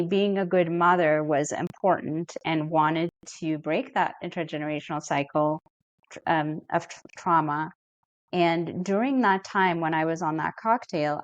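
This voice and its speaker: A middle-aged woman from Ethiopia, a therapist speaking as a podcast guest in an earnest, reflective and measured way. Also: low-pitched, fairly even intonation, no room sound, lo-fi sound. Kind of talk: podcast interview.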